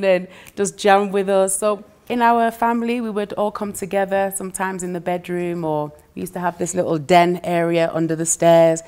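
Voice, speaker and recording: A woman from England, chatting as a guest musician and laughing easily between phrases. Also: fairly low pitch. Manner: warm, chatty, laughs between phrases, uses "you know" a lot. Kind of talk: relaxed interview chat.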